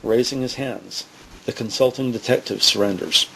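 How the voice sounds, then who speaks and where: A man narrating a scripted audio drama in a measured, dramatic manner. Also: mid-range voice, vocal fry, pushed and bright, slight background noise, rough recording.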